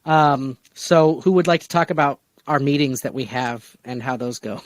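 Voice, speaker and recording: A man hosts a casual discussion podcast in a medium-pitched voice. The sound is dry and close, and he talks conversationally as he steers the group. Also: fairly even intonation, normal speed, no echo, poor audio. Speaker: podcast host and producer.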